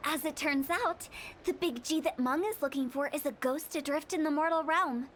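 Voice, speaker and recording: A woman's voice: sits high, moderate pace, fairly bright tone, slight background noise. A woman playing a playful, cheeky young funeral director in an anime video game, theatrical and chatty, with music behind. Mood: businesslike.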